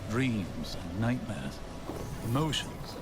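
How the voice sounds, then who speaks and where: A man's voice: fairly low pitch, very animated, takes it slowly, very noisy. Erudite, brooding male scholar. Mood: musing, intense. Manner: erudite, ponderous, theoretical. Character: earnest, brooding male scholar pondering cosmic mysteries. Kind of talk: scripted game cutscene dialogue. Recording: music behind the voice.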